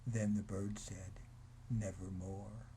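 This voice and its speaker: A man giving a dramatic, theatrical recitation of poetry in a brooding manner, swinging between hushed phrases and louder ones. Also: mid-range voice, a little slow, slight background noise, poor audio. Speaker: poetry reader.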